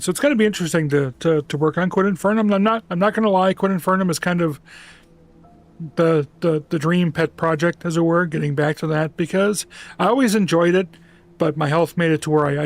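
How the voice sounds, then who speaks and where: A man chatting casually on a home livestream through a headset mic, rambling with lots of restarts and fillers in a relaxed, soft-spoken way. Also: on the high side, fairly quick. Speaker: streamer.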